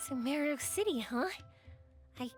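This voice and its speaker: A woman playing a bubbly, excitable and generous young woman traveler in a video game. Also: sits high, unhurried. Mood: excited. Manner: bubbly, enthusiastic. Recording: game music behind the voice.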